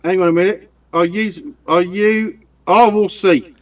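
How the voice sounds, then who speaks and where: A man's voice: high voice, fairly even intonation, unhurried, no echo. A man streaming a game, chatting casually with friends in a rambling, stammering way and swearing often. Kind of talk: casual voice chat while gaming.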